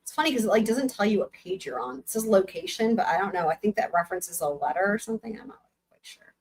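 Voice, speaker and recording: A young woman hosting a live book reading, breaking off for blunt, sarcastic comments, her voice going hoarse. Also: medium pitch, fast talker. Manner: sarcastic, blunt asides with swearing. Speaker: livestream host reading a book aloud.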